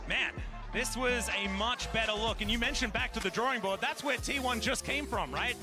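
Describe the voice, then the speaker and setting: Male esports commentator, very high voice, excited play-by-play, loud music behind. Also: moderate pace. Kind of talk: excited live match commentary.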